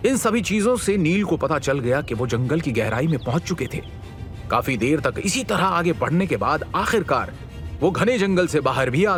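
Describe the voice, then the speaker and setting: Male narrator, slightly high voice, for dramatic suspense fiction; measured storytelling with a music bed. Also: fairly bright tone. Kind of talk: dramatic scripted audio-story narration in Hindi. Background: background music.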